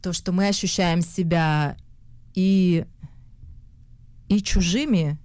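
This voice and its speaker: A young woman from Russia with a Russian accent, an actress giving an interview, often pausing and restarting as she searches for words. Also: on the low side, steady tone, a little mellow, no echo. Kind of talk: hesitant conversational interview.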